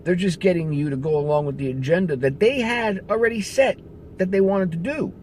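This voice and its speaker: A man's voice: sits high, a little fast, a little mellow, slight background noise. A man ranting in profane, sarcastic political commentary, hammering points with heavy emphasis.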